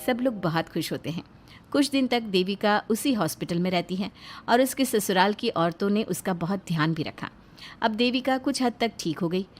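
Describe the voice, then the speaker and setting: A woman's voice: mid-range voice, lively intonation, normal speed, soft background music. A woman reading scripted narration for a moral story as its storyteller.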